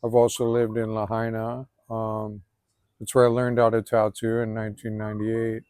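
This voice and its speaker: Laid back hawaii guy in his 40s, smooth clear voice, pretty flat delivery. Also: lowish voice, moderate pace, dry recording, studio quality. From Hawaii, Oahu. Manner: relaxed, even-toned, filler-heavy. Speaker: guest, tattoo artist and swimmer. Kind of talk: casual outdoor interview. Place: outdoors, in the rain after a swim.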